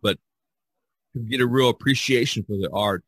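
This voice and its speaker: A man hosting a podcast, talking casually about art in a rambling, halting way with frequent restarts. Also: medium pitch, a bit bright, slightly rough, no room sound. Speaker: podcast host discussing art. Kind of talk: casual conversational discussion.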